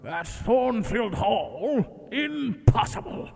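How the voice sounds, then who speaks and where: High-pitched solemn clergyman. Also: big pitch swings, gravelly, a little mellow, exaggerated voice. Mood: troubled, shocked. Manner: ceremonious, measured, indignant when challenged. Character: solemn, scandalised country clergyman. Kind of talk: dramatic period audio drama acting. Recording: echoing church hall.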